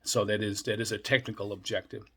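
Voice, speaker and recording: American man, lowish voice, explaining off the cuff. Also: flat delivery, quick pace, fairly bright tone, slightly rough. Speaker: radio enthusiast presenter. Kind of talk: unscripted explanatory monologue.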